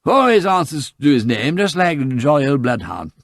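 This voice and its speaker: A man reading aloud puts on a drunken young aristocrat who slurs, giggles and rambles. The voice leaps high and loud, swooping widely, in a dry, close sound with no room around it. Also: big pitch swings, normal speed, dry recording. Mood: giggly drunk. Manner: tipsy, giggling, slurred and rambling. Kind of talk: audiobook character voice.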